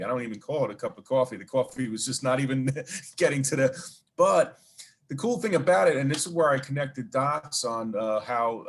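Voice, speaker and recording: A man with a Brooklyn accent, a guest telling long stories in an interview, rambling with many fillers and asides. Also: mid-range voice. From New York. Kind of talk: casual storytelling interview.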